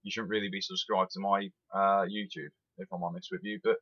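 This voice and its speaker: A man's voice: deep voice, brisk, vocal fry, highs cut off. A man, giving an opinionated, emphatic rant as a rail sim reviewer. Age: 20s. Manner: animated, emphatic, repeats itself.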